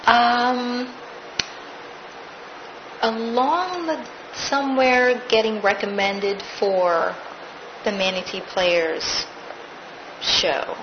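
A woman's voice: on the high side, very slow, long pauses, highs cut off, background noise. A woman, a stage actress, recounting her theater career in a casual interview, laughing now and then.

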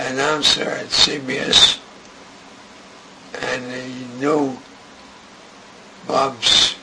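An older man recalling his radio career in an interview, speaking haltingly and restarting often. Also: mid-range voice, creaky. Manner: slow, halting, with many restarts. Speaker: interviewee, radio writer and director. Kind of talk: reminiscing interview. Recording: noisy recording.

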